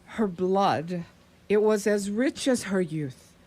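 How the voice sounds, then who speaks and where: A woman playing a grave, courteous professor in a radio drama, speaking in halting, formal, inverted phrases. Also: mid-range voice, darkish tone. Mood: grave, sorrowful.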